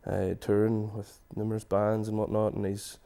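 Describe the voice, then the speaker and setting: A man with a Donegal Irish accent, a singer-songwriter guest chatting in a studio, hesitant and restarting often, his voice trailing off quietly. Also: fairly low pitch, monotone, moderate pace, creaky. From Donegal, Ireland. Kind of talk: casual interview chat. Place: radio studio.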